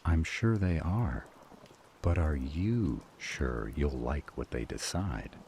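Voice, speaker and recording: An actor playing a grave, cryptic male counselor in a fantasy audio drama, speaking in a measured, formal and ominous manner. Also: rumbling low, lively intonation, a little mellow, a little hiss or hum, exaggerated voice. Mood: wary, warning.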